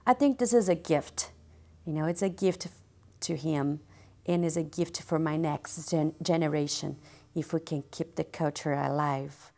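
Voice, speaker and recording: Woman, low gravelly voice, earnest interview talk. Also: normal speed, darkish tone. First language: Portuguese.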